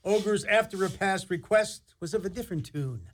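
A man's voice: sits high, expressive, normal speed, slight background noise. A man narrating a fairy-tale radio play in an ornate, dramatic, theatrical style. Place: radio studio.

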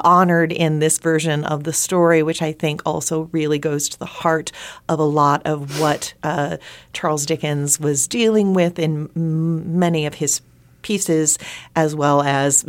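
A woman in a conversational interview about theater, explaining thoughtfully in long, building sentences. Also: deep voice.

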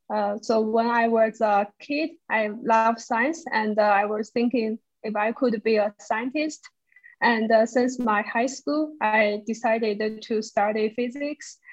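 A woman from China speaks over a video call, her slightly high voice coming through dull and lo-fi. She talks haltingly, leaning on fillers as she gathers her words. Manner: hesitant, many fillers. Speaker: physics postdoc.

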